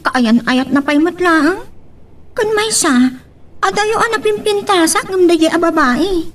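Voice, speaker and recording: A woman's voice: very high voice, a little slow, a bit bright, recorded from a distance. A woman with an Ilocano accent playing a gossipy village woman in an amateur audio drama. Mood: chatty, nosy.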